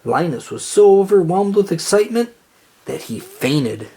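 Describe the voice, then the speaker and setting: A storybook reader narrating aloud for children in an expressive read-aloud style. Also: mid-range voice, moderate pace, breathy edge.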